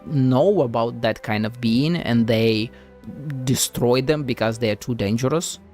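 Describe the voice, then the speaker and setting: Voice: medium pitch, measured pace, a little mellow. A game streamer giving casual live reaction commentary, chatty, blunt and sweary. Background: game music.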